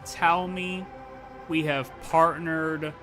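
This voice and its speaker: A man livestreaming a game with casual, excitable commentary, emphatic, repetitive and sweary, over game music. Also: fairly high pitch, very slow. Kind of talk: casual live reaction commentary.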